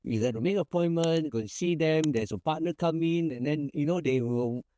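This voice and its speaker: Easygoing Malaysian man, mellow voice. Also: a little high, moderate pace, a little hiss or hum. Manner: easygoing, rambling, many fillers and restarts. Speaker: managing partner of a small firm, guest. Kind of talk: casual interview answers.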